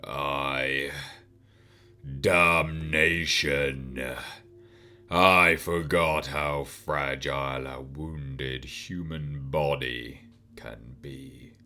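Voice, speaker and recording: A man voicing a burnt, cold, menacing male demon who speaks in slow, grave pronouncements. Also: rumbling low, fairly even intonation, bright, forceful tone, full character voice.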